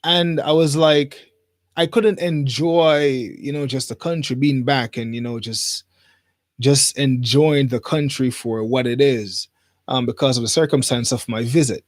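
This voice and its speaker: A man from Jamaica hosting a livestream, chatting informally to viewers in a rambling way and pausing as he goes. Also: medium pitch, fairly even intonation, dry recording, studio quality. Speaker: livestream host. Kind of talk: casual livestream chat to viewers. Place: studio.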